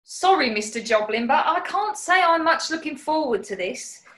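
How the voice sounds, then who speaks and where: Woman acting sceptical British housemaid, practical, bright piercing voice, 1940s radio comedy, echoey room. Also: sits high, expressive. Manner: indignant, brisk, practical. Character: plucky no-nonsense British housemaid, sceptical and blunt.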